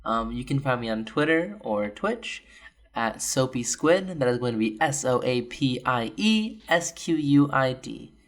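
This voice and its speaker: A player on a tabletop podcast with a mid-pitched voice full of gravelly fry. They speak in a relaxed, matter-of-fact way. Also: a little slow. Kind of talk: casual podcast chat.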